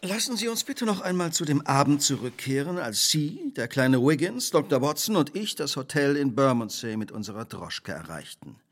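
Shrewd detective, theatrical, highly varied melody, precise diction, formal, relentless, radio drama. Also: male voice, sing-song, normal speed, few pauses. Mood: insistent, triumphant. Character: shrewd, relentless male detective laying out a crime.

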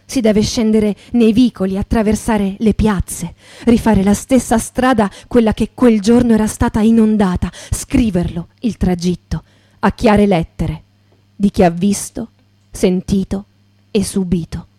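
A woman playing a grave witness in poetic radio theatre, speaking in measured, broken phrases. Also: mid-range voice, steady tone, warm tone, dry recording, natural voice.

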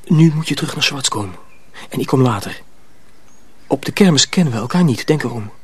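A man's voice: medium pitch, animated, brisk, slight background noise, close to an everyday voice. An actor playing an earnest young man in danger, speaking intimately in a radio drama. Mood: earnest, urgent.

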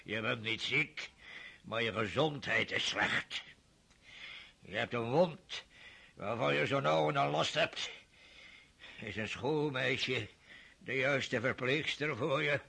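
An actor playing a stern, mocking older aristocratic father in a radio drama, with a husky voice and scornful laughs. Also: medium pitch, slow pace, long pauses, sharp tone, exaggerated voice.

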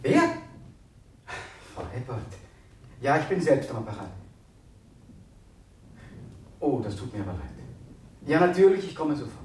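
An actor in a German radio play, playing a kindly, trusting village vicar with a courteous manner. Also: male voice, mid-range voice, very animated, normal speed, a little mellow, close to an everyday voice. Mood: concerned. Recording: roomy, distant, noisy radio recording.

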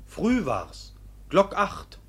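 An actor in a 1950s radio play playing a stubborn, hot-headed young peasant with a husky voice and blunt manner. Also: male voice, sits high, wildly swooping pitch, extremely slow, exaggerated voice. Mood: sullen, blunt. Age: young adult. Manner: blunt, protesting.

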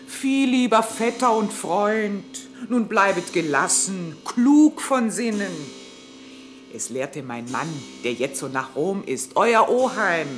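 A woman playing a scheming, solicitous animal aunt in a classic radio play, coaxing theatrically in verse. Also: on the low side. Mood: soothing.

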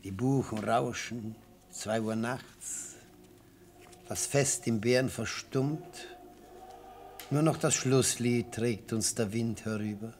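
An actor playing an elderly, theatrical defence lawyer in a radio play, speaking in rhetorical, declamatory phrases with savoured pauses and a husky voice. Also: male voice, mid-range voice, darkish tone, slight background noise. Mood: rueful, savouring. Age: older. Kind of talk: radio play courtroom oratory. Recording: vintage radio play recording.

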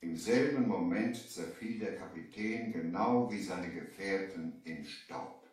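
An actor playing a merchant who narrates his sea adventure in measured storybook style, in a 1970s German audio play. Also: low voice, a little mellow. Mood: narrating. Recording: strong echo, distant from the mic.

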